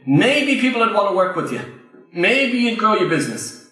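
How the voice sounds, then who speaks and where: An Irish man with a high, bright voice that rings through a very echoey room. He talks to a live audience like a coach, hammering key points by saying them twice and calling out to the crowd. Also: very animated, normal speed. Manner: emphatic, repeats key phrases, addresses the crowd directly. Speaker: property investment trainer.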